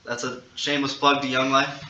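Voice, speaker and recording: A young man in his late teens co-hosting a podcast in casual chat. His voice is very bright and sharp, picked up from a distance in an echoey space with some noise behind it. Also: mid-range voice, level tone, brisk, lo-fi sound. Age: teenage high school senior. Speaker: podcast co-host.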